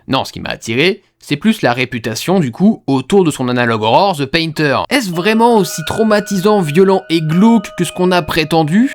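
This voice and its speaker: A man giving casual, slangy horror commentary as a YouTube host, talking conversationally. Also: a little high. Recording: music behind the voice. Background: music.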